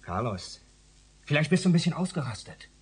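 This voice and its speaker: A man's voice: a little high, big pitch swings, a little fast. A man acting in a radio play as an aggressive, taunting police detective with a condescending, needling manner. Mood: goading.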